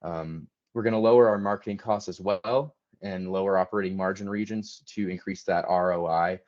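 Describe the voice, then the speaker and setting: A man with a very low voice gives a prepared business presentation. He is a little hesitant, often breaking phrases with ums. The sound is dull and lo-fi. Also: fairly even intonation, a little fast, a little mellow. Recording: dull lo-fi audio.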